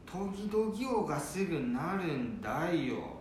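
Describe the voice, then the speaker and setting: Someone doing a gruff old grandpa with a twang. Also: medium pitch, very animated, slow, darkish tone, far from the mic. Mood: worried. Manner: gruff, rustic dialect talk. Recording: very echoey room, noisy.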